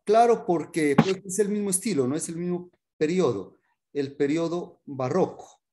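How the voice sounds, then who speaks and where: A man teaching a music history class in an informal, halting explanatory way, often checking in with his students. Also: on the high side, background noise.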